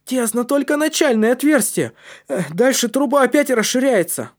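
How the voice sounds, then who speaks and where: A man voicing a small, earnest young boy with a squeaky, breathy, effortful voice in a story. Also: normal speed, dark tone, lightly acted. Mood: joyful.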